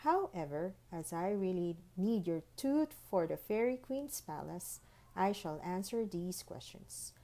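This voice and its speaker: A woman voicing a busy, bossy, impatient tooth fairy in a children's storybook, formal and brisk. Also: on the low side, lively intonation, dark tone. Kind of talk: children's storybook read-aloud.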